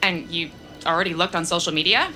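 An actress playing a blunt, curious, gossipy coworker in a scripted audio drama, teasing and inquisitive. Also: female voice, lowish voice, fairly quick, bright, forceful tone, soft background music, poor audio.

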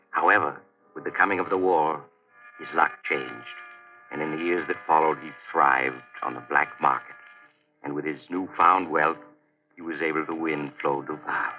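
A male actor as an ominous storyteller, narrating a 1940s radio crime drama in a dramatic, measured way over music. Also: a bass voice, sharp tone, heavily acted. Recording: dull, distant vintage radio recording, music behind.

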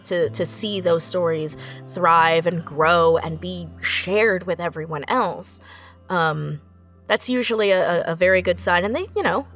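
Lower-pitched woman in a thoughtful interview. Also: unhurried, fairly bright tone, faint music behind. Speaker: writer interviewed as a guest. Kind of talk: casual reflective interview talk.

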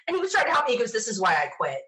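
A woman's voice: on the high side, racing. Chatty woman telling personal stories. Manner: chatty, animated quoting of self and others. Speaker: interview guest. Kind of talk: casual personal storytelling.

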